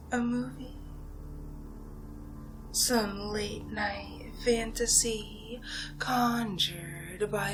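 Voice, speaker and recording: A woman playing an ancient, seductive female vampire in a whispered ASMR roleplay, taunting her prey in a breathy, theatrical way with drawn-out pauses. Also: mid-range voice, low-quality recording. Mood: menacing, taunting. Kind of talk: ASMR roleplay monologue, soft-spoken and whispered. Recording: music behind the voice. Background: music.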